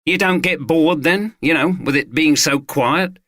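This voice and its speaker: A man's voice: a little high, unhurried, fairly bright tone, dry recording, studio quality, pitched above the performer's own voice. A man voicing a cheeky young constable who teases and asks questions. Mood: teasing. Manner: teasing, curious.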